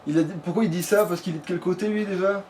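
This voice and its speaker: A man's voice: high-pitched, fairly even intonation, fast, a little mellow. A man giving casual, informal, sweary commentary while playing a video game. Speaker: let's player playing a fantasy game. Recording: distant mic, faint room noise.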